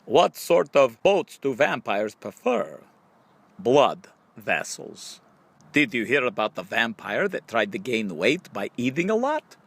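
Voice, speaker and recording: A man's voice: medium pitch, big pitch swings, vocal fry, a bit bright, slightly rough. A man reading jokes and riddles aloud for children, posing questions and drawing out each punchline. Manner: question-and-answer delivery with drawn-out punchlines. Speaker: reader.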